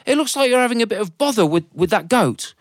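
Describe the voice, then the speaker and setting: Storybook ex-soldier, acted by a male teller: courteous, hesitant, lively and raised in pitch. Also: fast talker, dry recording, clean studio sound. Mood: polite, confident. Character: polite former soldier, confident then flustered.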